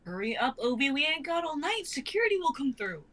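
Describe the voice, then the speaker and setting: A woman's voice: high-pitched, big pitch swings, a little fast, fairly bright tone. A woman playing a jittery, impatient heist accomplice in a live drama reading, urgent and agitated.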